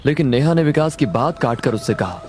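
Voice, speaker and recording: A man's voice: medium pitch, animated, brisk, slight background noise. A man narrating a scripted horror story as a storyteller. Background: loud background music.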